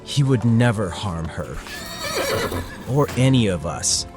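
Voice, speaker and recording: A man plays an earnest, loyal brother in a medieval audio drama. His voice is on the low side and moves expressively up and down. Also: very animated, slow pace, music in the background, full character voice. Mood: earnest, grave.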